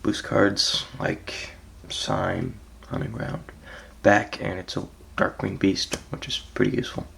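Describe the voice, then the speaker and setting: A gravelly, raspy man who sounds like he just rolled out of bed, his voice sitting on the lower side. He talks through his card deck in a relaxed, hesitant way, filling pauses with ums and letting phrases trail off quietly. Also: a little hiss or hum. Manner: laid-back, hesitant, frequent ums, trails off softly. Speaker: card game player presenting his deck. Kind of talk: casual deck walkthrough.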